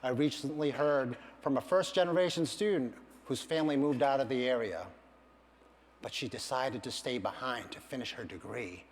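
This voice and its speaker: A middle-aged man, a university financial aid administrator, giving an earnest, measured prepared speech at a campaign event. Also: medium pitch, in a large hall, a little hiss or hum. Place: large event hall.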